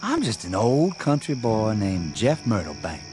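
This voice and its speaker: A defiant young country fellow with a rural Southern drawl. He speaks slowly and plainly, his pitch swinging widely, stubborn and folksy, over prominent music in a radio play. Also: huge pitch swings. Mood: defiant, menacing calm. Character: defiant young country man suspected of being undead. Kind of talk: radio drama acting.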